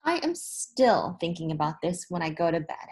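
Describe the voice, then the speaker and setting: A woman in her thirties, a teacher reading a storybook aloud to children with deliberate phrasing and expressive emphasis. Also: lowish voice. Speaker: elementary school teacher. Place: small indoor room with whiteboard.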